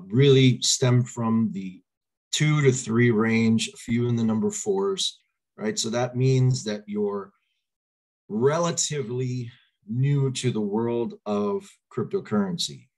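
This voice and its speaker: A man in his forties, slightly hoarse, giving an upbeat online presentation over a video call from a small room at home, asking listeners to answer in the chat. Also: fairly low pitch, low-quality recording. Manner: upbeat, coaxes audience to reply in chat. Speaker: crypto presenter. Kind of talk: webinar presentation. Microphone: webcam on video call.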